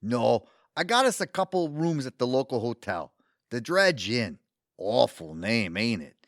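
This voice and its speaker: A man acts out an older New suitor in a radio-play comedy. His pitch leaps all over the place as he talks in a folksy, enthusiastic way. The sound is close and dry. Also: very animated, a little slow, no room sound. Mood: cheerful, reassuring. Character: eager, sweet, older Brooklyn man wooing his date. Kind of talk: audio theatre comedy acting.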